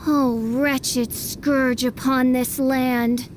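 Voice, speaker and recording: A pompous, theatrical young princess. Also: female voice, high voice, little pitch movement, extremely slow, a little hiss or hum, poor audio. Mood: haughty. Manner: archaic, pompous royal speech. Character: grandiose young self-styled princess, theatrical. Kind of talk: video game dialogue. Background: soft game music.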